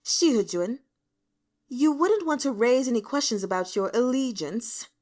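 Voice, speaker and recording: Woman voicing a sneering, lively-pitched aristocratic lord. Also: unhurried. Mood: sly derision. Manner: drawling, mocking, haughty. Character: sly, sneering aristocratic lord, male, spiteful troll.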